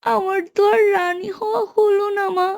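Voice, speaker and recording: A woman's voice: very high voice, steady tone, moderate pace, gravelly, crowd noise. A woman voicing a spiteful, spoiled stepsister girl in a cartoon story, whining and wailing. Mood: sobbing.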